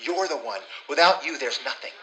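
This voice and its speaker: Insistent schemer, harsh echoey tape. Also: male voice, fairly high pitch, expressive, fairly quick, sharp tone. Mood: urgent, pleading. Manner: insistent, pleading. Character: intense, pleading male schemer, secretly recording a wiretap. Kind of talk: audio drama acting. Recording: noisy, echoey surveillance-tape radio sound.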